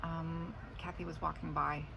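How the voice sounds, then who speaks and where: Low, creaky-voiced woman chatting casually. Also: little pitch movement, moderate pace, noisy background. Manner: meandering, trailing off into asides. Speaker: vlogger. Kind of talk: casual rambling chat about the day.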